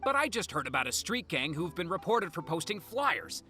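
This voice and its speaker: An actor voicing a stern, stubborn, officious police officer in a video game. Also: male voice, fairly high pitch, sing-song, normal speed, pushed and bright, faint music behind. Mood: accusing. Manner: accusing, officious.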